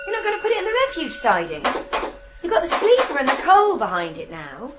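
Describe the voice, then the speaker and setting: An eager, high-voiced questioning daughter. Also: female voice, wildly swooping pitch, a little fast, heavy background noise. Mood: inquisitive. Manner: questioning, insistent. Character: inquisitive young daughter of a railway signalman. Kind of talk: naturalistic radio drama acting.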